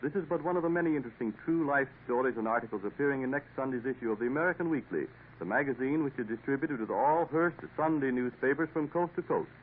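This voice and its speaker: Fast-talking 1950s radio announcer man. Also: medium pitch, lively intonation, slight background noise. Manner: smooth, formal announcer delivery. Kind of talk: scripted sponsor announcement. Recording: narrow lo-fi 1950s radio broadcast.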